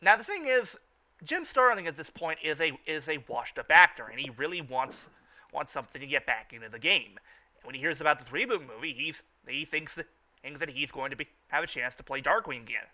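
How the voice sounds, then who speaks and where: Male fan-show host: higher-pitched, sharp bright tone, stumbling repetitive delivery, informal recap style. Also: expressive. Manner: halting, repeats and restarts phrases.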